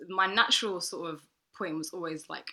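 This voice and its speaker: A woman's voice: fairly low pitch, normal speed, bright, forceful tone. A young woman talking reflectively in a casual podcast chat.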